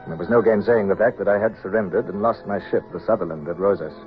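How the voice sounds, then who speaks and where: An actor playing a weary, proud British naval captain, narrating his past in a measured, grave English manner for radio drama. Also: fairly low pitch, close to an everyday voice. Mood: grave, reflective. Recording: dull old radio recording, faint music.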